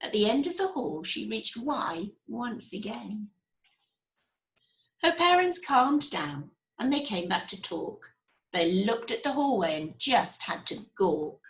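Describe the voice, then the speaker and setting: A woman reading a picture book aloud to children as a school storyteller, with expressive, deliberate phrasing. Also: mid-range voice. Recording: roomy, echoey sound.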